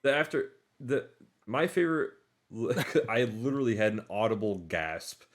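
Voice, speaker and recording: A man co-hosting a podcast, chatting casually in an informal, halting, jokey way. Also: medium pitch. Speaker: podcast co-host. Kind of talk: casual chat about movies.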